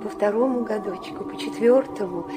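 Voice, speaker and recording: An actress playing a gentle, anxious, affectionate middle-aged mother in an audio drama, tender and fussing. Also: mid-range voice, moderate pace, loud music behind the voice, close to an everyday voice. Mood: tender, pleading. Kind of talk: theatrical audio drama acting. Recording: faint noise and ambience behind.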